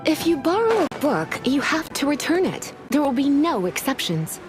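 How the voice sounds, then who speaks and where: A woman playing a poised, teasing, confident sorceress librarian in a video game, with a measured, articulate and coy way of talking. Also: high voice, very animated, over music. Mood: firm.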